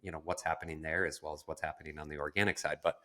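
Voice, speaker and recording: Low-voiced man co-hosting a podcast. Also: flat delivery, rapid-fire, bright, forceful tone. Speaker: podcast co-host and marketing agency founder. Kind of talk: casual podcast conversation.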